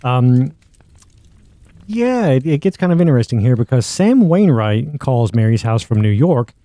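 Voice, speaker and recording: A man's voice: medium pitch, very animated, moderate pace, mellow, no room sound, clean studio sound. A man hosting a podcast, recapping a story in a casual, conversational way. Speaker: podcast host. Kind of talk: casual plot recap narration.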